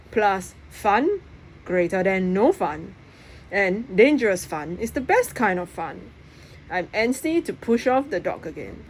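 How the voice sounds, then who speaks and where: A woman's voice: mid-range voice, a little mellow, rough recording. A woman reading a children's novel aloud as first-person narration. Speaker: audiobook reader. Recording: faint background noise.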